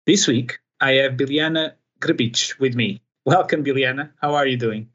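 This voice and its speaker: A man's voice: medium pitch, normal speed, fairly bright tone, lo-fi sound. A man hosting a podcast, interviewing a guest in a conversational way. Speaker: podcast host.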